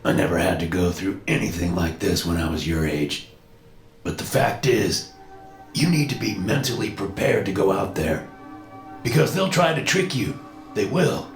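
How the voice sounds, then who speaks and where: A man's voice: low voice, animated, moderate pace. Man playing a teary middle-aged dad, raw and strained, roomy sound, faint music. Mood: stern. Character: tearful middle-aged father, fearful and controlling.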